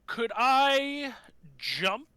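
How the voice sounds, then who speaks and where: A man's voice: very high voice, big pitch swings, very slow, sharp tone. A man chatting casually as a player in a tabletop game, informal and given to swearing.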